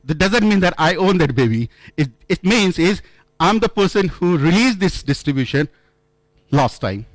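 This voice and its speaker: Man giving a halting conference talk. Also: high-pitched, big pitch swings, no room sound, low-quality recording. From India. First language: not English. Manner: halting, frequent restarts and self-corrections, storytelling. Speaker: conference speaker. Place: conference room.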